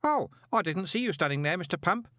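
A man's voice: high voice, lively intonation, fairly quick, bright, forceful tone, no room sound, studio quality, voice pushed up. A man voicing an odd, earnest, pin-obsessed boy in an audiobook, speaking in an eager, polite and fussily precise way. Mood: cheerful. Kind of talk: audiobook character voice.

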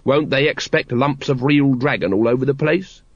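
A man's voice: medium pitch, normal speed, no echo, lo-fi sound, natural voice. A man voicing a dim, doubtful, grumbling cult member with colloquial speech in an audiobook. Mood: sceptical.

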